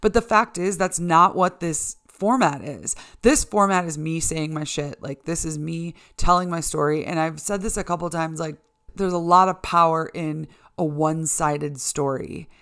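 Low-voiced American woman podcasting solo. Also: normal speed, fairly bright tone. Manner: candid, informal, mildly sweary. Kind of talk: casual conversational monologue to listeners.

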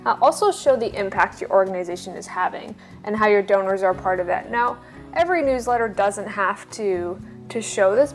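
Woman giving informal advice, medium pitch. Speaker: podcast guest giving advice. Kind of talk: informal explanatory talk.

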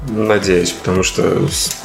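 A man's voice: sits low, level tone, unhurried, gravelly. A man co-hosting a casual comedy podcast, laid-back, swearing and laughing often. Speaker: podcast co-host. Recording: echoey room with music.

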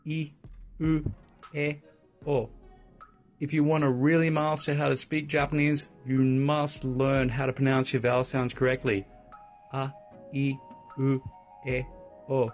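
Chatty man hosting a podcast. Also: medium pitch. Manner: chatty and upbeat, lots of shout-outs and asides. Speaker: podcast host. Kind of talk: casual solo podcast chat.

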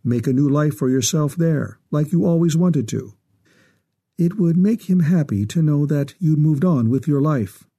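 A man voicing an older male official who speaks evenly and formally, holding back quiet sorrow. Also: on the high side, breathy edge. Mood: restrained. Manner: even, measured, formal.

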